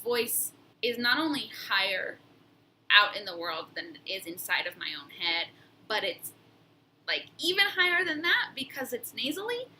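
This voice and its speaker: A woman's voice: fairly high pitch, very animated, moderate pace, bright, forceful tone, slight background noise, poor audio. A young woman with a nasal voice, talking casually and reflectively in a personal vlog, with frequent fillers and restarts. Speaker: vlogger.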